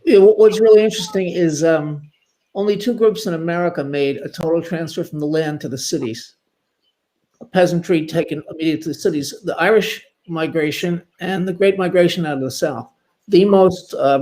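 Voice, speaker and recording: A man speaking as a historian on a panel, informal but scholarly, halting at times and building emphatic lists. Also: on the high side, dark tone, poor audio.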